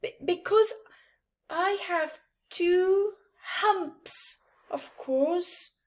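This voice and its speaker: A woman acts out an anxious male camel from a picture book, pitched high and plaintive. He sounds self-conscious and easily flustered, halting as he goes. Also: exaggerated voice. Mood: sheepish, nervous.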